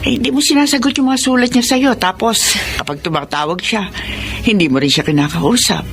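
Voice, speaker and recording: An actress playing a worried, doting middle-aged mother in a Filipino radio melodrama, expressive and pleading. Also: medium pitch, a little fast, lightly acted. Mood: earnest, persuading. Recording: drama with music bed behind.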